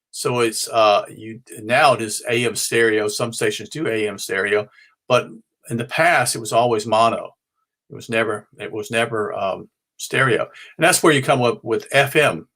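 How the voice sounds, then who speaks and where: A middle-aged or older man from North Carolina with an American accent, giving an informal, unscripted explanation as a hobbyist host in a halting, rambling way, often correcting himself. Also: medium pitch, fairly bright tone. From North Carolina, United States. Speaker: ham and shortwave radio hobbyist presenting his show.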